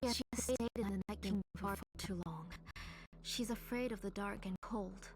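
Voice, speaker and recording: A woman playing a middle-aged grieving mother turned villain in a video game, measured, intense and resolute. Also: medium pitch, background noise, close to an everyday voice. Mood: obsessive, tender. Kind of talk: dramatic video game voice acting.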